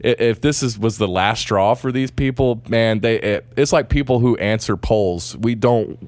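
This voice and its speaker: A man's voice: medium pitch, moderate pace, darkish tone, dry recording. A man in a casual podcast chat, talking in a rambling, sarcastic way, restarting his sentences and filling the gaps with "uh" and "like". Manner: rambling, sarcastic, trails off into fillers.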